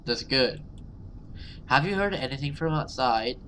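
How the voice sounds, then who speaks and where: A man's voice: mid-range voice, expressive, unhurried, pushed and bright, slight background noise. A man voicing a brave, protective young woman from a horror game, earnest and reassuring.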